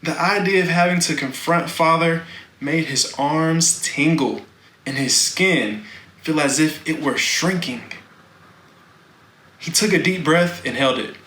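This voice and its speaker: An echoey room with faint noise surrounds a man reading a children's novel aloud. He narrates slowly and dramatically, with deliberate phrasing and a bright voice. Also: on the high side. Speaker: storybook reader.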